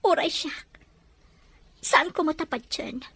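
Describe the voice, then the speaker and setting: Woman playing a young mother, anxious, tender, high pitch. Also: measured pace, fairly bright tone, no echo.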